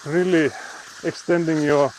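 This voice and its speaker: A man's voice: fairly high pitch, steady tone, measured pace, background noise. A man with a Finnish accent, chatting casually and laughing often while live-streaming on a frozen lake. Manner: chatty, laughs often, reads chat aloud.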